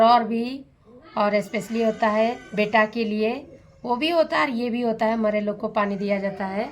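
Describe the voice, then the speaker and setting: A young woman, hoarse from a sore throat, chatting candidly in a village vlog while she cooks. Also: mid-range voice, reverberant, a little hiss or hum. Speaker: vlogger. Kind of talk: casual vlog narration while cooking. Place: village home kitchen.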